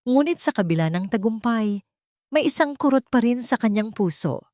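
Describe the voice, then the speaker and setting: A woman's voice: on the high side, expressive, normal speed, a little mellow, no room sound, clean studio sound. A Filipino woman reading a scripted dramatic story as its narrator.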